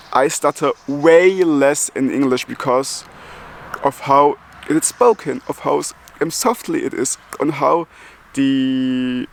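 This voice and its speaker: Slow-talking German man who stutters. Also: on the high side, gravelly. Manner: stutters with repeated sounds and restarts; chuckles between phrases. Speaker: YouTuber. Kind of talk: casual vlog talk.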